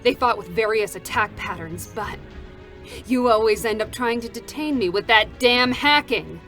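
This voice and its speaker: A cold, bitter android deserter, battle-hardened, flat and weary, faint music behind. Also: female voice, on the high side, moderate pace. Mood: cold, mocking. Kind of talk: live concert reading of game scenes.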